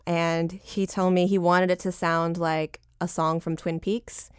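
A woman with a lower-pitched voice chatting casually as a singer-songwriter guest in an interview, with a lightly joking, self-deprecating manner. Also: little pitch movement, a little slow, clean tone, no room sound, clean studio sound.

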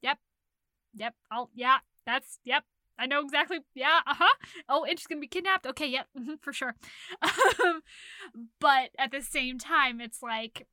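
A woman with a high, forceful, bright voice speaks as the host of a casual podcast. She is animated and emphatic, stacking up her points as she goes. The sound is very dry and close. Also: a little slow, dry recording. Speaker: romance writer.

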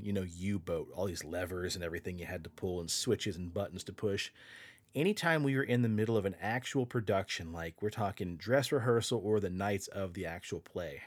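A young man on a podcast, easygoing and chatty as he spins a story, with a low voice. The sound is dry and close to the mic. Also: husky, dry recording. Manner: laughs often, swears casually, relaxed storyteller. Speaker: podcast co-host. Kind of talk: casual storytelling chat.

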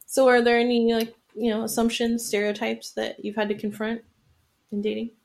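A woman's voice: fairly high pitch, monotone, moderate pace, vocal fry, a little mellow. A woman hosting a casual podcast chat, asking playful, probing questions of her guests. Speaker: podcast host.

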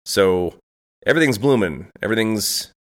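A man's voice: lowish voice, expressive, normal speed. A man hosting his own podcast, congested from allergies, rambling through a reflective solo talk and thinking aloud as he goes. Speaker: podcast host.

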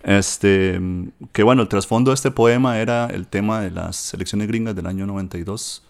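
A man's voice: lowish voice, steady tone, normal speed, creaky. A man, chatting casually about books on a podcast, full of fillers and tag questions. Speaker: podcast host discussing books. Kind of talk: casual literary chat.

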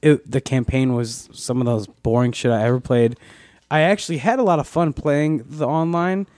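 A man's voice: mid-range voice, normal speed, darkish tone, dry recording, clean studio sound. A man co-hosting a casual gaming podcast, rambling with lots of swearing and restarts. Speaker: podcast co-host. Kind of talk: casual chat.

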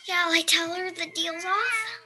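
A woman's voice: very high voice, unhurried, pushed and bright, slight background noise, full character voice. A woman playing a meek, dutiful female assistant in an audio drama, with a deferential manner. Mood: timid.

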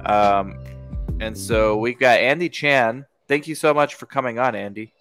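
A man hosting a podcast interview, talking in an energetic, informal way. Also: mid-range voice, a little slow, faint music behind. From United States. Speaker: podcast host. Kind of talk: casual interview chat.

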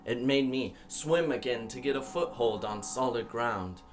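A man's voice: mid-range voice, a little slow, natural voice. A man playing a weary shipwrecked wanderer who declaims earnestly in a classical epic audio drama, over faint ambient noise. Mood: relieved.

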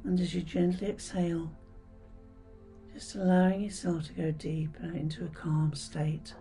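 A woman leading a scripted guided meditation in a soothing, hushed, breathy voice with gentle pauses. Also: lowish voice, little pitch movement. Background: background music.

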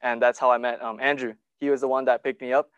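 A man's voice: mid-range voice, level tone, quick pace, a bit bright, clean tone, dry recording, highs cut off. A young man from Malaysia, a student giving a nervous personal testimony full of ums. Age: young adult.

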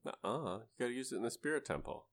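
A man on a podcast panel, chatting casually about video games with halting delivery and wry jokes. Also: mid-range voice, lively intonation. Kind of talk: casual video game discussion.

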